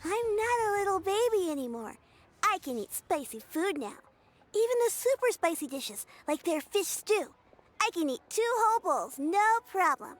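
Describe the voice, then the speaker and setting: A woman's voice: extremely high pitch, big pitch swings, normal speed. A woman voicing a polite, caring young granddaughter in a video game, earnest and a little hesitant. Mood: caring, reassuring.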